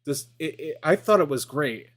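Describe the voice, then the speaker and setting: A man in his forties chatting casually as a streamer, rambling and excitable, with lots of fillers and restarts. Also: on the high side, normal speed. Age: 40s. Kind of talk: casual gaming chat.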